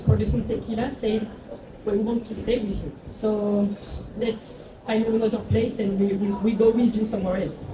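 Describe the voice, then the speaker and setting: Woman, forties, non-native English speaker with a foreign accent, reflective founder's talk, slightly high voice, roomy distant noisy recording. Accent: foreign, not Mexican. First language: not English. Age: 40s. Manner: reflective, searching for words, many fillers. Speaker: tequila brand founder. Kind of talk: talk to an audience. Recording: echoey room, far from the mic, noisy.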